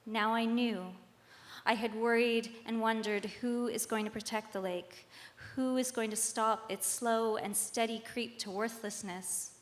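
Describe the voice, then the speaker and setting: A woman reads a scripted spoken-word piece on stage, with deliberate, rhetorical phrasing and short dramatic lines. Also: fairly high pitch, steady tone. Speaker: reader at a live tribute performance.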